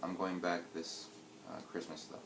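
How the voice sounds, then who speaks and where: A young man in his twenties with a very low voice, talking through his answers in a reflective, hesitant way. His voice bounces around a roomy space. Steady background noise sits under it. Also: normal speed. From United States. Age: 20s. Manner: reflective, hesitant, with fillers and restarts. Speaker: interviewee. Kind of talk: casual interview answers.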